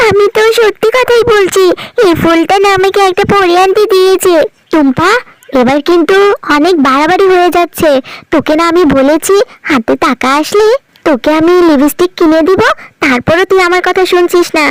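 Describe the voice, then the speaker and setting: A woman's voice: extremely high pitch, dry recording. A woman voicing a poor but loving cartoon mother who scolds sharply. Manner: scolding, sharp-tongued, firm. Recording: faint background music.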